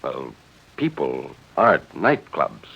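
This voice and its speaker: A man's voice: a bass voice, sing-song, takes it slowly, creaky, husky, voice pushed down, full character voice. A man playing a mild, decent suburban husband with a plain, questioning manner in 1940s radio drama style. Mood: uneasy, questioning. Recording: dull vintage 1940s radio recording.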